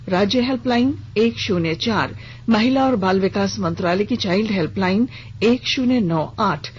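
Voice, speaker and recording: A woman's voice: medium pitch, slightly rough. A woman reading a scripted regional radio news bulletin as the newsreader. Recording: dull lo-fi radio broadcast with hiss.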